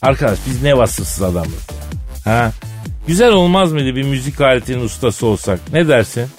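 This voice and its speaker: Male radio co-host, lively swooping intonation, colloquial teasing banter, faint music underneath. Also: medium pitch, moderate pace. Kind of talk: casual comic banter. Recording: music bed behind the voice.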